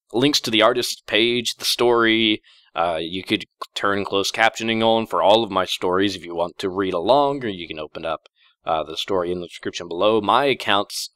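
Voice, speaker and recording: A man with a low, deep voice talks casually to his audience as the host of a channel. His delivery is unscripted and conversational, wandering a little as he goes. The sound is dry and close, with very little room around it. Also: fairly bright tone, no echo. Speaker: host of a story-reading channel. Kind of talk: casual unscripted commentary to listeners.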